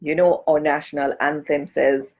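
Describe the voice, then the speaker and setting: A woman's voice: low voice, flat delivery, normal speed, a little mellow, lo-fi sound. A woman from Jamaica chatting casually on a live stream as a cultural advocate, greeting and speaking straight to her viewers. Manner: chatty, greets and addresses viewers directly, gives blessings.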